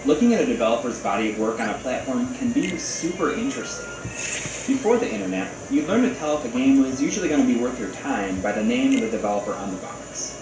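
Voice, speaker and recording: A man's voice: mid-range voice, lively intonation, quick pace, background noise. A man hosting a video game review show, narrating a scripted essay in casual, conversational phrasing. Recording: roomy, distant, loud music over voice. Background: music.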